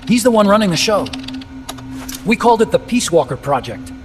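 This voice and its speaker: High-voiced, earnest male scientist. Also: very animated, fairly quick. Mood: earnest, explanatory. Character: earnest, talkative male robotics engineer and scientist. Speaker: video game character. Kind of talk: game voice acting, expository dialogue. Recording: music behind the voice.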